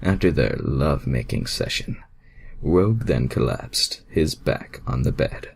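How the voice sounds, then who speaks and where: Male amateur story reader, very low pitch, husky voice, stumbling casual narration. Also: animated, unhurried, a little mellow. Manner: stumbles and misreads words. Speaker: amateur reader of fan fiction. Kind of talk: casual read-aloud narration of fan fiction.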